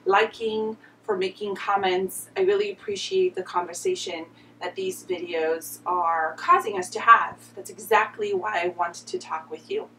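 A woman's voice: medium pitch, sing-song, normal speed, fairly bright tone, far from the mic, slight background noise, rough recording. A woman in her thirties from Romania with a Romanian accent, talking earnestly to the camera in a reflective vlog and often restarting her phrases. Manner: earnest and gesturing, with many restarts. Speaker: vlogger.

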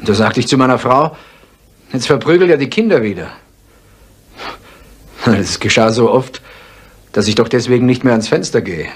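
An actor playing a guarded, defensive husband under suspicion in a 1970s German radio crime drama, courteous but evasive. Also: male voice, mid-range voice, moderate pace, pauses often, breathy edge, natural voice.

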